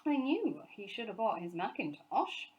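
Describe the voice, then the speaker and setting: Reader doing a brisk no-nonsense woman in a kids story, proper, fond, swoopy pitch. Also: big pitch swings, muffled recording, close to an everyday voice. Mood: fretful.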